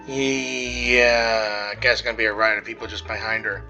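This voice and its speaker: A woman's voice: a bass voice, level tone, moderate pace, pushed and bright. A woman giving casual gameplay commentary with wry, offhand asides. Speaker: gaming video creator. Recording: dull lo-fi microphone, faint music behind. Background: faint game music.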